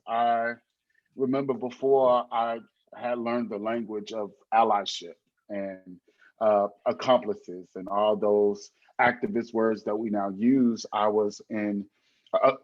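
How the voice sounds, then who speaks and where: A man's voice: lowish voice, lo-fi sound. A man speaking as a panelist on a video call, emphatic and preacherly, repeating his key points.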